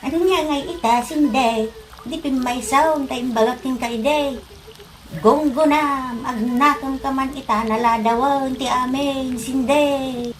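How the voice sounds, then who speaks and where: A woman's voice: sits high, steady tone, a little slow, poor audio. An actress playing a fussing mother in a comedy drama, full of questions.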